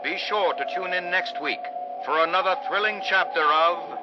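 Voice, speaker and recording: An actor playing an ominous, theatrical narrator of a vintage radio suspense serial. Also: male voice, sits high, close to an everyday voice. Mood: ominous, intriguing. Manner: theatrical, ominous, deliberate emphasis. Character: dramatic old-time radio host, ominous and theatrical. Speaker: announcer and narrator. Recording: muffled vintage radio sound, loud music.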